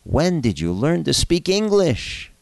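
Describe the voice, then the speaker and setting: Guy playing a jittery eager grown grandson in a joke story, emotional, swoopy pitch. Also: sing-song, a little slow, gravelly, exaggerated voice. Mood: puzzled. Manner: hesitant, emotional. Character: nervous, eager grandson, an adult husband.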